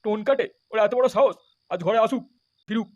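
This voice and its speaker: A man's voice: high-pitched, brisk, muffled recording. A man voicing a foul-mouthed middle-aged village father in a cartoon, grumbling, cursing and making threats. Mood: furious. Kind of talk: comedy cartoon dubbing.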